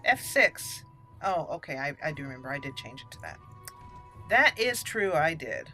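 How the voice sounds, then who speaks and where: A woman's voice: low voice, big pitch swings, normal speed, sharp tone, soft background music. A woman hosting a let's play, chatting and thinking aloud as she roleplays through a game. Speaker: gaming channel host.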